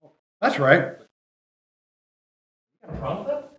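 A man's voice: sits high, sing-song, moderate pace, a bit bright. A man doing live sketch comedy as a heckler, recorded in a very echoey, noisy room. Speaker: comic sketch performer.

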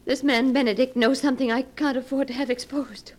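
An actress playing an anxious, desperate married woman in melodramatic 1940s radio style, with halting, breathless pleading. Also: high voice, steady tone, a little mellow.

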